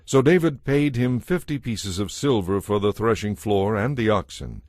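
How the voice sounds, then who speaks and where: Lower-pitched male scripture narrator. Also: expressive, moderate pace, darkish tone, no echo, studio quality.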